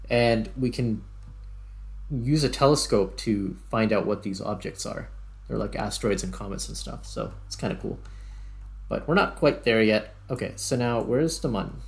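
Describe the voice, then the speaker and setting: A man's voice: fairly low pitch, creaky. A man hosting a gaming video, talking casually and thinking aloud step by step as he plays. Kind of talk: casual let's play commentary. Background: background music.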